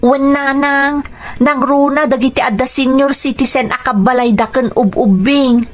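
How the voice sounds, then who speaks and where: A woman's voice: high-pitched, fairly even intonation, normal speed, natural voice. A woman playing a caring, cautious grown daughter in a radio drama, with a Filipino accent and an earnest, explanatory manner. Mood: earnest, advising.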